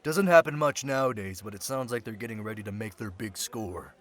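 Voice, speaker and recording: A man's voice: lowish voice, a little fast, close to an everyday voice. A man playing a sly, centuries-old thief turned security specialist, talking in a casual, teasing way in an audio roleplay drama. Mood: businesslike, confiding. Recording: loud crowd chatter behind voice.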